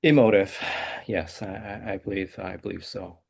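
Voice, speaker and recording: A man, a composer answering interview questions in a soft, hesitant way with many fillers. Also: deep voice, normal speed, gravelly. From South Korea. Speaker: guest composer.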